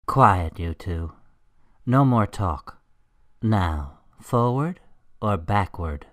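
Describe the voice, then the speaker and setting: Deep-voiced smug criminal mastermind Professor Marty. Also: male voice, lively intonation, drawn-out words, a little hiss or hum. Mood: menacing. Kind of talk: radio drama villain acting.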